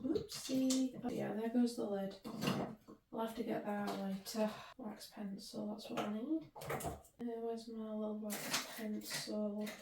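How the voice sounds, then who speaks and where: A woman with a slightly croaky voice, chatting casually and muttering to herself as she works at her craft desk. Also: mid-range voice, mellow, a little hiss or hum. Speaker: resin craft channel host. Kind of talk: casual crafting vlog, thinking aloud. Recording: roomy echo.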